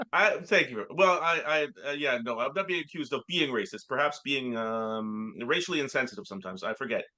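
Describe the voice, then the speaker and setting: A man co-hosting a comedy podcast, telling rambling stories in halting bursts full of filler sounds. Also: medium pitch, bright, forceful tone. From Canada. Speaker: podcast co-host. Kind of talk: casual comedic chat.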